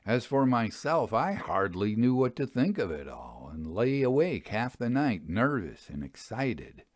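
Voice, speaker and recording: A man's voice: mid-range voice, big pitch swings, unhurried, dry recording. A man reading a Victorian ghost story aloud as a literary narrator.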